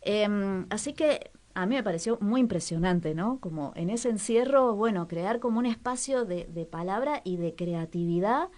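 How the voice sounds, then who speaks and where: A woman presenting cultural recommendations on the radio in a casual, conversational way, pausing to search for words and repeating some for emphasis. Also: mid-range voice, animated, darkish tone.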